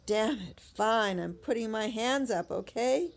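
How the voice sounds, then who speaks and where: A woman's voice: on the high side, lively intonation, a little slow, a little hiss or hum. An actress playing a defiant, foul-mouthed young woman captive in an audio drama, sarcastic and tough. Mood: indignant.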